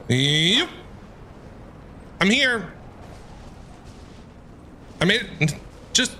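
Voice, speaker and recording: A man giving casual, reactive gameplay commentary as a streamer, excitable and often repeating himself. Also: high voice, a little hiss or hum. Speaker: game streamer.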